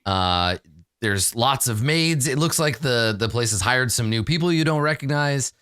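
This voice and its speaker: A man's voice: medium pitch, animated, moderate pace, a bit bright, smooth, dry recording, studio quality. A man acting as game master, narrating a tabletop game off the cuff and bantering casually.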